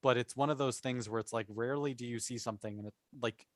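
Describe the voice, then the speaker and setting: A man's voice: medium pitch, steady tone, quick pace, dry recording, studio quality. A man talking casually and with emphatic enthusiasm as a panelist in a fan discussion podcast.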